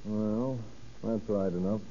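Sharp, hard-nosed federal agent. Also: male voice, fairly low pitch, slow pace. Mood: pressing, skeptical. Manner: curt, interrogating, authoritative. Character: hard-nosed male federal narcotics agent, terse and commanding. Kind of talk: 1930s radio crime drama acting. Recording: noisy, muffled old radio recording.

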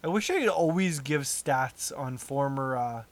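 A man's voice: medium pitch, animated, measured pace. A man co-hosting a casual chat, joking around and laughing easily. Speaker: podcast co-host.

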